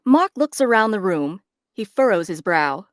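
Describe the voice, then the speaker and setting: A woman's voice: medium pitch, sing-song, moderate pace, no echo, clean studio sound. A woman reading stage directions as scripted narration for a drama.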